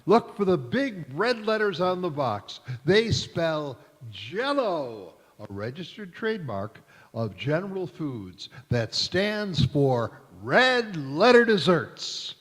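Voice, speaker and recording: A man's voice: fairly high pitch, very animated, slow pace, full character voice. A man playing a hearty, emphatic 1940s radio announcer, selling and narrating in polished, folksy style on stage. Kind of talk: old-time radio ad and narration. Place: large indoor hall, live stage performance. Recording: reverberant church hall stage recording.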